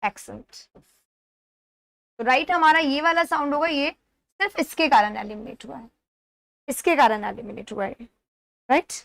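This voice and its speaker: A woman's voice: high-pitched, moderate pace, creaky, long pauses, a bit bright, dry recording, clean studio sound. A woman with an Indian accent teaching an exam-prep lesson step by step, repeating key words and checking that students follow. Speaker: English teacher.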